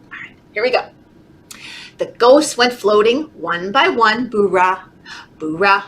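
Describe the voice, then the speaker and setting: A woman leading a children's story time, reading aloud in an animated, encouraging, sing-song way, as if talking to toddlers. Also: mid-range voice, measured pace. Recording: clearly noisy background.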